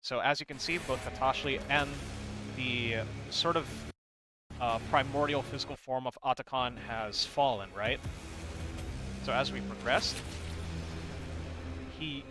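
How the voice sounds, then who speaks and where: A man's voice: medium pitch, measured pace, long pauses, over music. A man hosting a casual lore breakdown, talking informally and hesitantly, rambling as he explains and sometimes reading aloud. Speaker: video host explaining game lore. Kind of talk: casual commentary on a comic, reading parts aloud.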